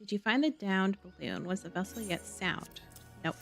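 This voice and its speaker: A woman's voice: mid-range voice, expressive, normal speed, creaky. Female game streamer, casual live play commentary, mid-range voice, game music underneath. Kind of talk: casual live gameplay commentary, reading quest dialogue aloud.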